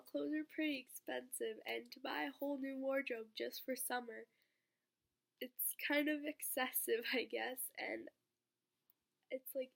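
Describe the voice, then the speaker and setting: A teenage girl talks to her viewers while sick, her voice a little off and fry-laden. She sits a touch above the usual pitch and rambles casually with plenty of fillers. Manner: rambling, lots of "like" and "um". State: sick, voice sounds off. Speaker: vlogger. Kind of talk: casual chat to camera.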